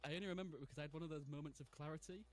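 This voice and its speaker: A man's voice: on the high side, very fast, soft background music. A man in his twenties chatting casually and laughing often while streaming a game. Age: 20s. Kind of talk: casual gaming chat.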